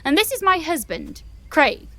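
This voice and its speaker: A woman's voice: high voice, wildly swooping pitch, unhurried, vocal fry, sharp tone, no echo, full character voice. A woman playing a grandiose, snobbish titled lady in an audio pantomime, pompous and over-enunciated. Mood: haughty.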